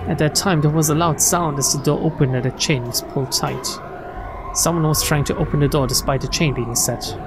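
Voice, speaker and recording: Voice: medium pitch, fairly even intonation, fairly quick, noisy background. A streamer reading visual novel text aloud in a dramatic narrating style. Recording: game music behind the voice.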